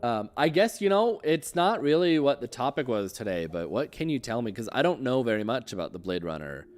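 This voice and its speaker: A man's voice: mid-range voice, big pitch swings, brisk. A man hosting a casual livestream interview, informal and encouraging as he puts questions to a guest. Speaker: host interviewer.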